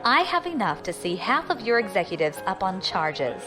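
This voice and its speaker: A woman's voice: mid-range voice, big pitch swings, normal speed, over music, natural voice. A woman playing a bold, ambitious, defiant young opportunist in an audio drama, assertive and challenging. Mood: confident, threatening.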